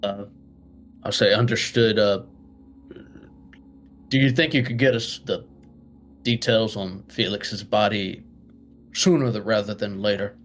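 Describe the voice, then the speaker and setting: Halting man with a lowish voice. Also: fairly even intonation. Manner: halting, thinking aloud. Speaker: tabletop game player. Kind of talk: casual in-game discussion.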